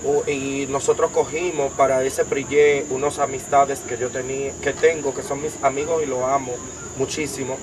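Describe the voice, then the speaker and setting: A young man with a Dominican accent, giving an emphatic personal testimony as an interview guest, piling up details in long rapid lists. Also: mid-range voice, flat delivery, noisy background. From Dominican Republic. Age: young adult.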